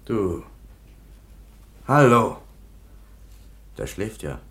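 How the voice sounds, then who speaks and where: A man playing an officious police commissioner in a German radio play, matter-of-fact and dismissive, with a gravelly, husky voice. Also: on the low side, very animated, very slow, fairly bright tone, full character voice.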